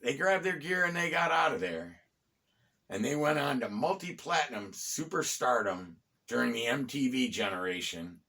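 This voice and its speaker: A middle-aged American man hosting a conversational, storytelling history talk, phrasing deliberately with pauses and dropping in wry asides. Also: medium pitch, sing-song, bright, forceful tone. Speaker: host and storyteller of a local history series. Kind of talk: conversational bedtime-story style history narration.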